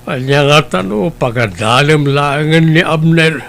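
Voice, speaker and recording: Man voicing a stern old grandfather, gravelly tone, bright edge, slow and reproachful, family drama. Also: a little high, expressive, a little hiss or hum, heavily acted. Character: grave elderly grandfather, stern but caring. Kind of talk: Ilocano radio-style family drama.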